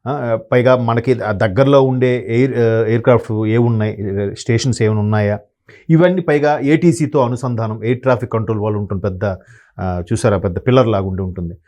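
A man with a mellow, warm tone gives conversational commentary as an analyst guest. He speaks, hesitating often with fillers and pausing to hunt for the right word. His pitch sits in the middle range. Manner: hesitant, many fillers and word-searching pauses.

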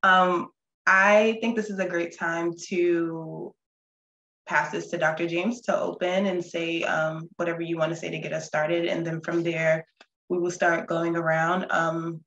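A woman from the Bronx moderating a roundtable over a video call, talking in a relaxed way with fillers. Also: fairly low pitch, echoey room, lo-fi sound. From the Bronx, New York. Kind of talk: casual video-call chat. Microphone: laptop webcam mic on video call.

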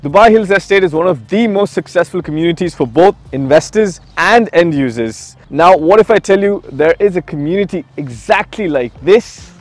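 A man's voice: sits high, expressive, moderate pace, few pauses, no echo. A man giving an emphatic, salesy promotional presentation as a real estate agent, putting questions to the viewer.

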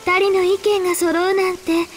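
Sweet, polite game heroine: exceptionally high-pitched, gentle, light music underneath. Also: female voice, flat delivery, moderate pace. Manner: soft-hearted, polite. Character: sweet, gentle young woman. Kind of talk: Japanese anime-style game voice acting.

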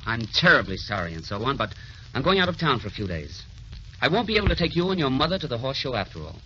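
An actor playing a smooth-talking, brazen ladies' man in old-time radio drama style. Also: medium pitch, sing-song, quick pace, sharp tone. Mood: breezy, evasive. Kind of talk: 1930s-40s radio acting. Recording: muffled, noisy old radio recording.

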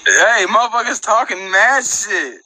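High, bright young man on a video call. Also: expressive, measured pace, rough recording. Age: young adult. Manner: hyped, loose street slang. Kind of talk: casual livestream banter. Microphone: phone video call.

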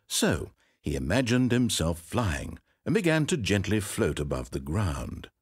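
A wry, theatrical storyteller whose husky, lower voice leaps wildly up and down in pitch. He narrates a video game from a dry studio booth, swinging between hushed asides and sudden exclamations. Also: no room sound, full character voice.

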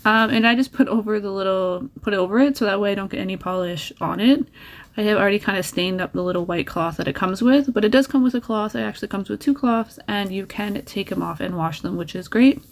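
A woman narrates her nail painting in a relaxed, chatty way, dropping practical tips and encouragement as she goes. Her voice sits at a middle pitch with an easy, conversational flow. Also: fast talker. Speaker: nail art creator. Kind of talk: casual tutorial voice-over.

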